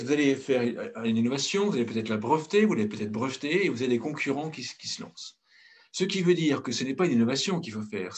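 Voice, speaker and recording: Blunt French-speaking man, husky voice. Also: mid-range voice. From France. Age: middle-aged. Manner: blunt and outspoken, frequent hesitations and restarts. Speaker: consultancy director giving a presentation. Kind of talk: conference talk.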